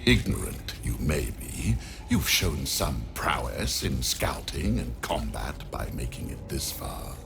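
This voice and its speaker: A man's voice: rumbling low, sing-song, vocal fry, heavy background noise, exaggerated voice. An actor playing an arrogant, cold male necromancer in a video game cutscene, speaking with haughty disdain. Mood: condescending. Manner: haughty, disdainful, slow and deliberate.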